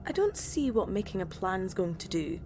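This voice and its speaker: A woman voicing an anxious, sarcastic young British abductee who feels sick and on edge. A clear music score runs under her lines in this audio drama. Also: mid-range voice, big pitch swings, moderate pace, close to an everyday voice.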